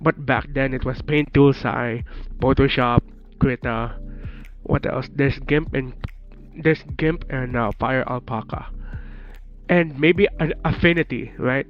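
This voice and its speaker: A young Filipino-accented man talks slowly and easily with his stream chat, laughing a lot and teasing viewers with playful curses. He sounds a little sick. The audio is dull and lo-fi, with soft music underneath. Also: medium pitch. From Philippines. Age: 20s. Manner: laughs often, teasing and jokingly profane. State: slightly sick.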